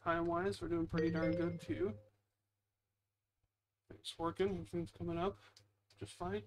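A man's voice: fairly high pitch, flat delivery, normal speed, slight background noise, rough recording. A man giving casual, rambling, self-deprecating gameplay commentary as the host, muttering into a headset mic at home. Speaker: gaming video host.

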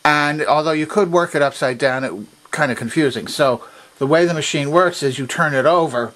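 A man's voice: on the high side, moderate pace, a bit bright. Methodical man giving a how-to demonstration. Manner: methodical, precise explaining. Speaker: demonstrator of a vintage machine. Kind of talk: instructional demonstration, explanatory. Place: indoor workshop at a workbench.

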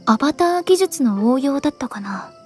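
A woman voicing an earnest, pensive young woman in an anime-style game, speaking in a serious, measured way. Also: high voice, animated, a little mellow, soft background music. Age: young adult. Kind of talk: Japanese anime game voice acting.

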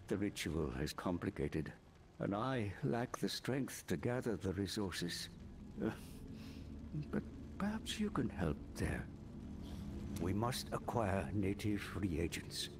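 Folksy druid from a fantasy game, hearty, talks slow, noisy background. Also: male voice, deep voice, exaggerated voice. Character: hearty bearded druid grateful for his rescue. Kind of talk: video game character acting.

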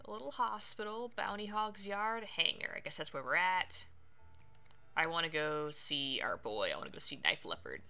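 A woman streamer chats casually through a game, animated and reactive. Her voice is slightly low and creaky but bright, with game music softly behind it. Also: normal speed. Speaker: let's play streamer.

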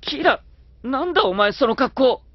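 An actor playing a stern, exasperated young military officer in a Japanese anime audio drama. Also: male voice, very high-pitched, lively intonation, moderate pace, noisy background. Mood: startled. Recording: dull lo-fi audio under music.

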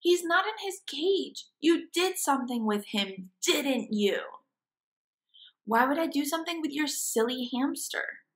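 A woman's voice: sits high, expressive, a little slow, pitched above the performer's own voice. A woman voicing indignant, bickering children from a comic storybook, in an accusing, exclaiming manner.

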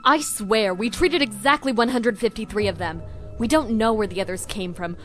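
A woman's voice: mid-range voice, moderate pace, a bit bright, faint music behind, natural voice. A woman playing a calculating, self-assured female scientist villain in an anime dub, with composed, coaxing delivery. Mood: defensive, earnest. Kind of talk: dramatic anime dub acting.

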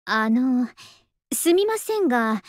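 A young anime game soldier woman with a high voice. She is languid and polite, with an understated affection, and her pitch swings widely. The sound is dry and close. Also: sing-song, slow, dry recording. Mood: polite, lazy. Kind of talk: Japanese anime game voice acting.

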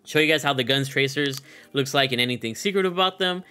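A man's voice: medium pitch, quick pace, pushed and bright, clean tone. A young man hosting a gaming video with casual, hyped, slangy commentary. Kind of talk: casual commentary on a game showcase.

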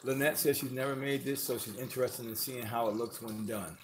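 A man's voice: mid-range voice, flat delivery, brisk, background noise. Chatty man reading out live chat. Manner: chatty, reads viewer comments aloud with laughs. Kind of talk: casual livestream chat. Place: home kitchen, off camera.

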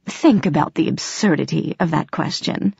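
A woman's voice: lowish voice, a little slow, a little mellow, breathy edge, no room sound, dull audio. A woman voicing a haughty, aloof male talking cat from a fantasy audiobook, speaking in a formal, patronizing way. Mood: patronizing disdain.

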